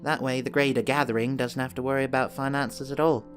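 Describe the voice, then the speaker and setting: A man's voice: mid-range voice, fairly even intonation, fast talker, natural voice. A man playing a welcoming, wry monk master of a run-down space temple in an amateur audio play. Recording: music under the voice.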